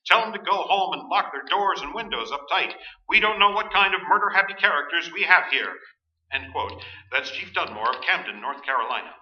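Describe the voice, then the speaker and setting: A man's voice: a little high, brisk, close to an everyday voice. An actor playing a grave, urgent male radio newsreader who delivers formal, emphatic bulletins in a staged radio play. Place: stage performance in an echoey room. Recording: dull, echoey live stage recording.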